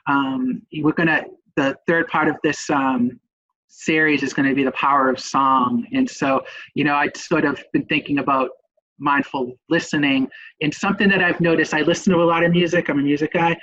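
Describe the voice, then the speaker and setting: A middle-aged man with a Boston accent speaks in a slightly higher register. He presents in a webinar with a halting delivery full of ums. The audio sounds muffled and lo-fi, like an online call. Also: fairly bright tone. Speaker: nurse presenter. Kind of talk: webinar talk.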